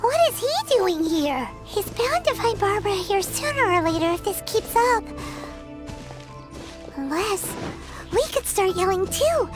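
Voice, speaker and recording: A woman's voice: very high-pitched, animated, measured pace. A woman voicing a tiny, chirpy, childlike fairy companion in a video game, bold and mischievous. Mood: scheming. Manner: speaks of self in third person. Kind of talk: video game character acting. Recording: music behind the voice.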